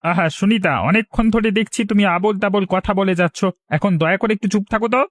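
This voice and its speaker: Casting: man playing exasperated young husband for a cartoon, fast high voice, close dry recording. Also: no echo, lightly acted. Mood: annoyed. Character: irritated young husband scolding his wife. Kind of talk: cartoon voice acting.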